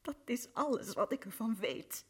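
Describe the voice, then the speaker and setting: An actress playing a young woman accused of murder in a radio play, halting and pleading. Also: a little high, expressive, breathy edge. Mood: distraught. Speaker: accused prisoner.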